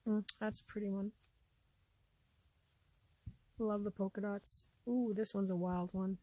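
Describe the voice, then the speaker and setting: Chatty canadian lady, voice a bit scratchy, talks to the camera and drops into soft mutters, dry close sound. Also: mid-range voice, little pitch movement, normal speed, no echo. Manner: chatty, often trailing off into quiet asides. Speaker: vlogger showing a thrift haul. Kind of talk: casual show-and-tell chat.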